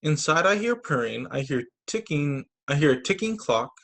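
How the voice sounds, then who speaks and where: A man reading children's picture books aloud as a story time teacher, in an expressive, sing-song storytelling manner with short asides, over a video call. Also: a little high, moderate pace, vocal fry.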